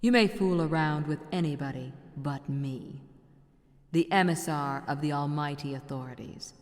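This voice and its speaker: A woman's voice: low voice, normal speed, darkish tone, close to an everyday voice. Woman playing an imperious inquisitor in echoing hall. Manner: commanding, solemn, declamatory. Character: imperious female inquisitor, cold, absolute believer in law. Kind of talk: theatrical scripted game dialogue. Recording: long hall reverb.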